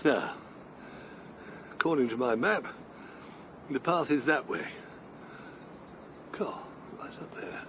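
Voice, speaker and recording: Actor as an older rambler, slow-spoken and breathless, faint hiss behind. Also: male voice, medium pitch. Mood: wistful. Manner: breathless, wondering, chuckling to himself. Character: Harold Fry, older retired man on a long walk. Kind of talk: radio drama acting.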